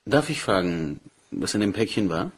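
An actor playing a polite, probing police inspector in a crime radio play, questioning in a measured, courteous way. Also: male voice, low-pitched, big pitch swings, husky, full character voice.

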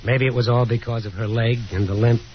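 A man's voice: mid-range voice, fairly even intonation, brisk, muffled recording, a little hiss or hum, lightly acted. An actor playing a brooding, sardonic, wistful young man in a 1950s radio drama. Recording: band-limited 1950s radio broadcast.

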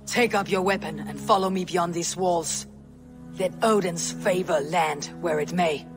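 An actress playing a proud, vengeful young Viking warrior woman in a video game, low, husky, blunt and defiant. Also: a little slow. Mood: defiant fury. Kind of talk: video game cutscene acting. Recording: music behind the voice.

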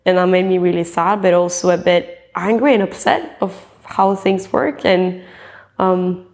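A woman in her twenties from Norway, a singer-songwriter talking in a relaxed interview, earnest and chatty, with fillers and restarts. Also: lowish voice, a little slow. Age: 20s. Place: dim indoor room, seated in an armchair.

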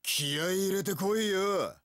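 An actor playing a cocky, cool young samurai warlord in a video game, with rough tough-guy speech and English catchphrases. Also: sits high, a little slow, a bit bright, no room sound, studio quality. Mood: cocky, taunting. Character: cocky, cool young male samurai warlord, swaggering one-eyed dragon. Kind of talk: video game battle voice lines.

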